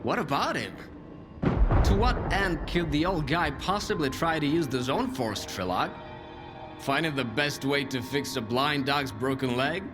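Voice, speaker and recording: A wry stalker who teases his friends in a video game cutscene. His voice sits a little high. Music plays clearly behind him. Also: animated, a little slow. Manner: wry, teasing.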